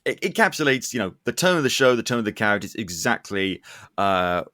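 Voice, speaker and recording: A man's voice: on the low side, big pitch swings, fairly quick, pushed and bright, dry recording, studio quality. A man hosting a podcast, talking in a casual, enthusiastic and rambling way about what he likes. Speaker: podcast host and reviewer. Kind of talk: casual enthusiastic interview chat.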